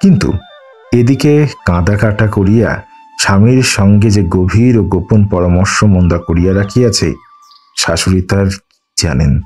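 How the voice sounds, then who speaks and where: A man's voice: lowish voice, darkish tone. A man reading a literary story aloud as a scripted audiobook narration. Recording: music under the voice. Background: background music.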